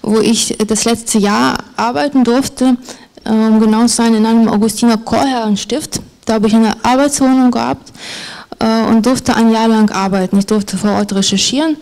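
A woman's voice: a little high, steady tone, moderate pace, a little mellow. Hesitant woman author on a stage mic. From former Soviet Union. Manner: hesitant, with many fillers and restarts. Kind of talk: stage interview and reading aloud. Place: literary event on a stage, indoors. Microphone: handheld mic.

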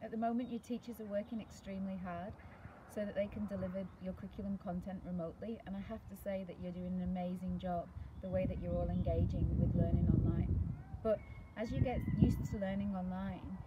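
A woman's voice: fairly low pitch, level tone, brisk, dull audio. A British woman in her thirties giving a prepared address to school pupils as a teacher, recorded outdoors. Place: outdoors in a garden by a brick wall. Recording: outdoor recording with clear background noise.

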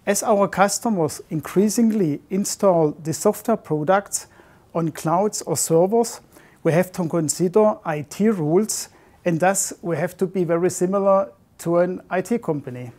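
A man in his fifties answering interview questions in a measured, slightly formal way, recorded on a lapel mic in an office. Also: high voice, a little mellow. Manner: measured, slightly formal, non-native phrasing. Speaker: software development manager. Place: modern office.

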